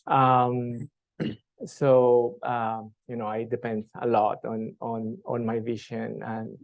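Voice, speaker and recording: A man from Colombia, a patient advocate, answering questions at a live panel in a halting way with many pauses and fillers. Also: medium pitch, level tone, vocal fry, rough recording. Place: event room with audience.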